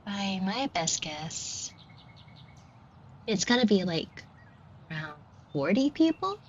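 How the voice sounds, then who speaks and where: A woman's voice: mid-range voice, very animated, takes it slowly. A woman playing a shy, flustered florist who talks to the listener in a hesitant, colloquial, self-deprecating way in an audio roleplay. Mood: casual, bashful. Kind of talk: scripted roleplay acting addressed to the listener. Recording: dull muffled audio with clear noise. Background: shop ambience sound effects.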